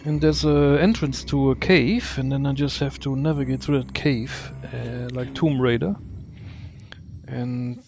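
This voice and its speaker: A man's voice: mid-range voice, steady tone, unhurried, gravelly, darkish tone, slight background noise. A man doing casual, unscripted gameplay commentary, muttering and thinking aloud to himself.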